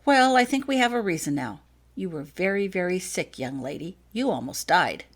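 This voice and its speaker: A woman voicing a kind, gentle man visiting a sick child in a storybook, speaking in a reassuring way. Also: on the low side, animated, normal speed. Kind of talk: storybook character dialogue.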